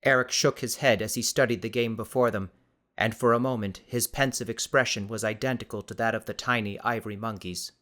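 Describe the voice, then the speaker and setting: A man's voice: on the low side, fairly even intonation, fairly quick, bright, forceful tone. A man reading scripted, dramatic story narration as an audiobook narrator.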